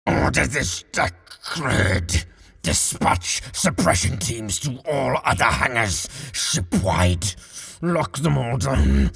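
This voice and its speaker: A man voicing a battle-maddened armored officer who barely holds back his bloodlust, growling out strained, clipped commands in a husky voice. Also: lowish voice, a bit bright, heavily acted.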